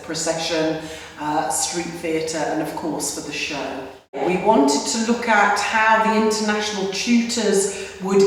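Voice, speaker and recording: A woman, a festival director, calmly explains a planning meeting to the camera. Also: fairly low pitch, a little hiss or hum. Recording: echoey hall, distant mic.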